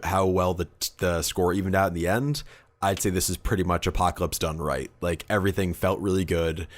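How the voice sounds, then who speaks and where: Deep-voiced, laid-back slangy male co-host. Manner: informal, slangy, profane. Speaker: podcast co-host. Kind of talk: casual chat about tabletop wargaming.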